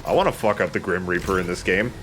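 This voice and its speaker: A man giving casual, joking let's play commentary, wry and quick to swear. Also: low-pitched, a bit bright, faint music behind.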